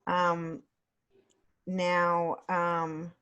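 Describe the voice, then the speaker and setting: A middle-aged woman chatting casually as an interview guest on a video call, speaking in a hesitant, halting way and often restarting her phrases. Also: on the low side, monotone, fairly bright tone, clean tone, muffled recording. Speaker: guest author and creative writing teacher.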